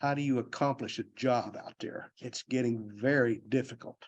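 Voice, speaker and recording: An older man with a Texas drawl gives a conference talk on a technical subject. He is plainspoken and folksy, rambles a little, and jokes about himself. His pitch and tone sit in an ordinary middle range. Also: fairly even intonation, normal speed, muffled recording. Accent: Texan. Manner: plainspoken, self-deprecating, loose and rambling. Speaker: conference speaker. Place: university convention hall.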